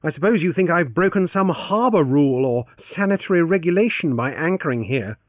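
A man doing a flippant gentleman adventurer. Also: on the high side, animated, brisk, dry recording, pitched above the performer's own voice, natural voice. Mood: breezy. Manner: mocking, flippant banter. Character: wry, jaunty young gentleman adventurer. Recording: tinny narrowband, dry.

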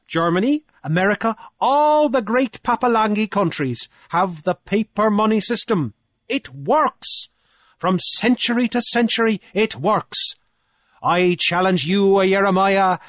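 Man acting a smug, high-pitched orating chancellor. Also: fairly bright tone, no echo, clean studio sound. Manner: oratorical, challenging, emphatic. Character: smug, triumphant male chancellor arguing before an island council.

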